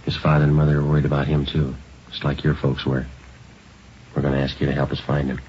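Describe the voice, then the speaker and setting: An actor playing a calm, businesslike police sergeant in an old radio drama, clipped and matter-of-fact. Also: male voice, a bass voice, fast talker, slight background noise. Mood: calm, gently firm. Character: calm, businesslike police sergeant who narrates his cases. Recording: dull old radio recording, music.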